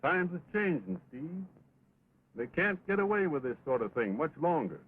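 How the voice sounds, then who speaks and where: An actor playing an earnest, steadfast American cowboy in an early talkie western, speaking in a plainspoken drawl. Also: male voice, fairly high pitch, very animated, moderate pace. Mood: grave, earnest. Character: earnest, steadfast cowboy pleading with his wayward friend. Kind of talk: early 1929 talkie western film acting. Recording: muffled, scratchy 1920s film soundtrack.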